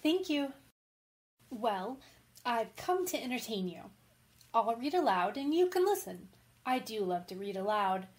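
A woman's voice: a little high, very animated, measured pace, long pauses. A woman acting a bold, theatrical tomboy teenage girl in an amateur radio drama, with eager, exclamatory delivery. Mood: excited.

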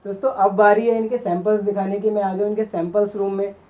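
A man's voice: sits high, flat delivery, fast, highs cut off, a little hiss or hum. A man in his twenties hosting a video, talking to camera and interviewing, often repeating answers back for viewers. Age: 20s. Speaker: video host. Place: garment factory workroom and sample room. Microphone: handheld mic with windscreen.

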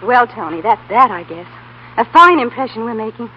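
A woman's voice: on the high side, very animated, fairly quick, slightly rough. A woman playing an earnest, anxious young woman in a 1930s radio comedy. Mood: hurt. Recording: dull, faintly noisy 1930s radio recording.

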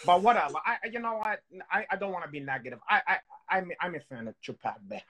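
Co-host, man in his thirties, high voice, playful rambling banter, on a video call. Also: quick pace, sharp tone. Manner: playful, teasing, rambling with many restarts. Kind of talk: joking casual chat. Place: home room with candlelight.

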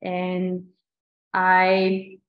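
A woman's voice: lowish voice, monotone, drawn-out words, lo-fi sound. A woman from New Caledonia with a French accent, chatting enthusiastically as she teaches a live online lettering tutorial. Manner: chatty and enthusiastic, many restarts. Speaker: lettering instructor. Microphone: video call. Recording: echoey video call audio.

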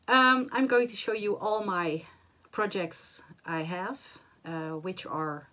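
A woman in her forties, hoarse and a little nasal after the flu, chatting to camera about her stitching projects from a small home craft room, with many fillers and restarts. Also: mid-range voice, slow, bright, forceful tone. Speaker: cross-stitch vlogger. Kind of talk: casual chat to camera.

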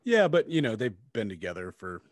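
A man's voice: on the low side, big pitch swings, fairly quick, dry recording. A middle-aged man co-hosting a podcast in casual, blunt, sweary chat, speaking close to the microphone. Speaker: podcast co-host. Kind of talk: casual book review chat. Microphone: close podcast microphone with headphones.

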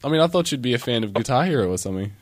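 A man's voice: mid-range voice, animated, dry recording. Dry-sounding Australian man asking questions. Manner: halting questions with ums, chatty. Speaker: radio interviewer. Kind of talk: casual radio interview.

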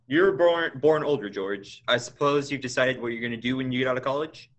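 A man's voice: mid-range voice, fairly quick, dull audio, close to an everyday voice. A young man playing a tired, principled, kindly father in a radio play. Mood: weary, gentle. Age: young adult.

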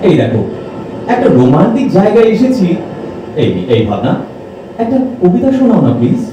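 A man's voice: high-pitched, wildly swooping pitch, a little fast, highs cut off. A man playing an affectionate, teasing companion in a romantic audio drama, with coaxing theatrical delivery. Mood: coaxing, passionate. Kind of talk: stage audio drama acting, romantic banter. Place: multipurpose hall, live performance. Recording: very echoey hall, distant, noisy background.

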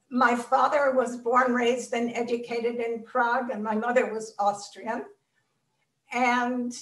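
An older woman from Czechoslovakia, a Holocaust survivor, telling her life story in measured, reflective speech with pauses, on a video call. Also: a little high. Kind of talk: personal testimony in an interview. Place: home room. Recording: echoey video call audio from a distance.

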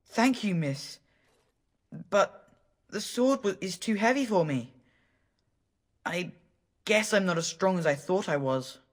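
A woman's voice: mid-range voice, animated, takes it slowly, long pauses. A woman voicing a frightened but brave young village boy in a video game, hesitant, polite and earnest. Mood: downcast. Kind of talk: video game character dialogue.

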